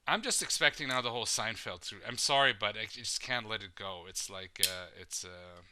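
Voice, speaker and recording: Low-voiced guy rambling on his podcast, lots of um and like, swears a lot, smooth clear sound. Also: normal speed, sharp tone. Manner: rambling, profane, filler-heavy. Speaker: podcast host. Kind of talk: casual opinion podcast.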